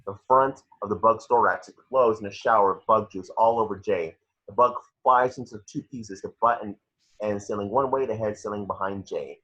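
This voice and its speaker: Brief: male narrator for stage directions, low husky voice, home table read, muffled lo-fi sound. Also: normal speed, fairly bright tone. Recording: dull lo-fi home recording.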